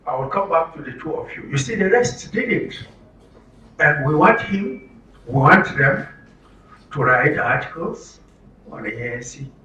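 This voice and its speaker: A man's voice: a little high, lively intonation, a little slow, long pauses, pushed and bright, lo-fi sound. A man with a Nigerian accent giving an interactive public talk, emphatically posing questions and answering them as a presenter. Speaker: presenter at a health orientation for media. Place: room with an audience. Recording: roomy, distant mic, faint noise.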